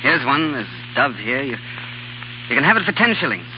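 Give the weary sculptor played by a man a quick but halting delivery, desperate yet proud. It is 1930s radio drama, with clear hiss and a dull, narrow sound. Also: mid-range voice, sing-song, close to an everyday voice. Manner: hesitant, halting, repeating words.

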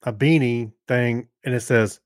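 A man's voice: mid-range voice, steady tone, measured pace, no echo, studio quality. A man co-hosting a podcast, chatting in an informal, rambling way. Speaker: podcast co-host. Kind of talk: casual chat.

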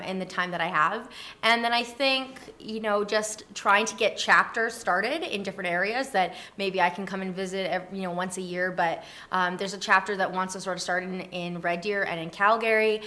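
A woman's voice: medium pitch, pushed and bright. Chatty woman explaining things casually. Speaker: interview guest, community organizer. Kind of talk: casual conversational interview.

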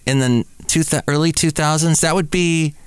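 A man's voice: on the high side, steady tone, moderate pace, clean tone, no echo. A middle-aged man hosting a podcast, rambling casually and playfully interrupting himself. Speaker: podcast host. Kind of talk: casual solo podcast commentary.

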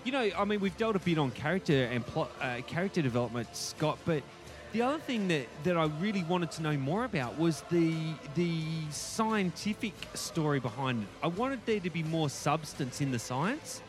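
A man with a fairly high-pitched voice talks through a film review as a podcast co-host. He rambles thoughtfully and hedges as he goes. Soft music plays faintly behind him. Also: sing-song, normal speed. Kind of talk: casual movie review chat.

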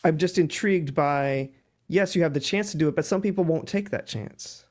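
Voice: mid-range voice, normal speed, darkish tone. An interviewer who poses pointed follow-up questions and steers the conversation from topic to topic.

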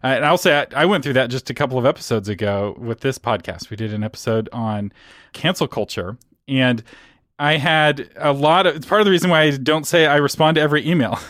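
A man hosting a podcast, asking his guest questions in a measured, casual way and letting his voice drop off at the ends of phrases. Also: medium pitch, fairly bright tone, no room sound, clean studio sound. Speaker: podcast host. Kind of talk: interview questions, casual chat.

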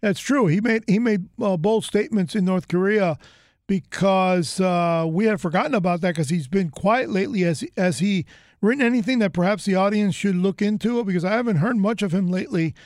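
A man's voice: high voice, fairly quick, a little mellow, dry recording, studio quality. A man from the United States hosting an interview in a rambling, chatty way, full of fillers and restarts. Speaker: host. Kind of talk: casual interview chat.

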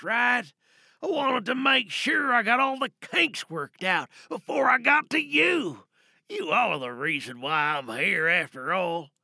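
A man playing an eager, obsessive killer, delivering an excitable, emphatic confession in an audio drama monologue. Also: high voice, creaky, no room sound, heavily acted. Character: eager, obsessive killer gushing to chosen victim.

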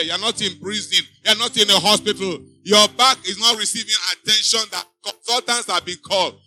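A man's voice: sits high, pushed and bright. A man preaching a sermon to a church congregation. He exhorts the listeners with emphatic, repeating refrains. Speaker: pastor. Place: church before a live congregation.

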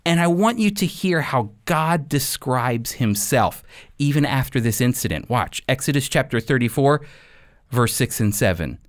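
A male Bible teacher delivers a sermon in a medium-pitched voice. He phrases deliberately and earnestly, leaning on key words and repeating them for emphasis. The sound is very dry, with no room around it. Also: smooth, no echo. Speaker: preacher and Bible teacher, program host.

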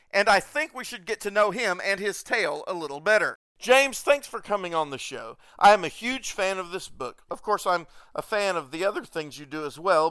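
A male podcast host with a high, bright voice, speaking as an interviewer. His delivery is clear and crisp. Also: dry recording.

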